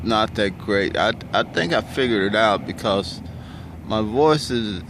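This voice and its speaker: A middle-aged man talks slowly in a baritone, stopping often to restart his thoughts with loose filler words. He records outdoors, and passing cars and street noise are clearly heard behind him. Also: expressive. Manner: hesitant, many ums and restarts, loose and conversational. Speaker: vlogger. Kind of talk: casual rambling monologue.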